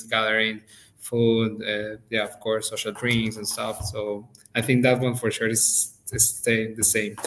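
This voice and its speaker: A man from Venezuela with a Venezuelan accent, a native Spanish speaker talking casually as an interview guest, relaxed, restarting phrases and laughing easily. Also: medium pitch, a little hiss or hum, low-quality recording. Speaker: podcast guest.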